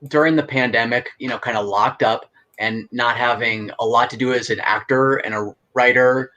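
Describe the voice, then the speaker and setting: A middle-aged man speaks as an interview guest in a medium-pitched voice. He explains things casually and with enthusiasm. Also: fairly even intonation, normal speed, pushed and bright. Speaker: guest, actor and writer.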